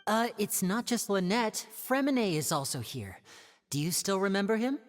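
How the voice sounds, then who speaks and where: A man's voice: high-pitched, big pitch swings, moderate pace, long reverb tail, faint music behind. A man voicing a playful, teasing young male trickster in an anime fantasy game. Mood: casual.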